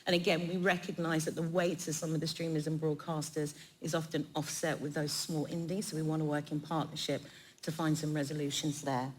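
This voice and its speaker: A woman's voice: deep voice, level tone, a little fast. A woman panellist giving a prepared but conversational, articulate corporate update at a live event. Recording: clear background noise, live event.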